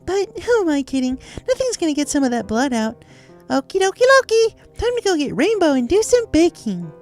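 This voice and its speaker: A woman's voice: sits high, very animated, brisk, darkish tone, faint music behind, pitched above the performer's own voice. A woman voicing a childishly cheerful, murderous young female pony in a giddy, sing-song, menacing way for a story reading. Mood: manic glee. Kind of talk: dramatized fan fiction journal reading.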